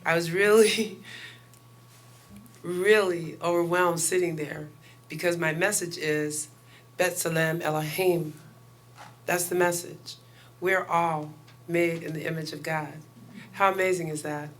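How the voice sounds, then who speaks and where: A woman guest pastor with a loud voice giving a chatty sermon and prayer to a congregation, laughing often between lines. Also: on the low side, fairly even intonation, slow pace, long pauses. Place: house of worship.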